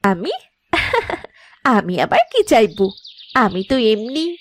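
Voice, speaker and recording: A woman's voice: on the high side, big pitch swings, slow pace, slight background noise. A woman playing a work-shy young farmer's wife in a cartoon, with a whiny, scheming, exaggerated manner. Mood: pleading. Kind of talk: animated moral-story cartoon dialogue.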